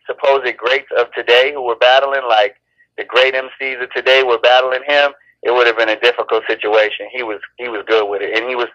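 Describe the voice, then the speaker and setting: A man's voice: medium pitch, a bit bright, dry recording, muffled recording. A man who raps, talking casually in an interview, rambling and restarting his sentences. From born in Chicago, raised in Los Angeles. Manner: laid-back, rambling with many restarts. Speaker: rapper, interview guest.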